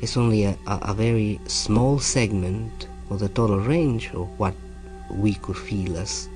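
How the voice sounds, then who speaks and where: Slow, halting low-voiced man explaining. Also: a little mellow, rough recording. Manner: halting, searching for words, repeating. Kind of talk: spontaneous explanatory talk. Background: faint music.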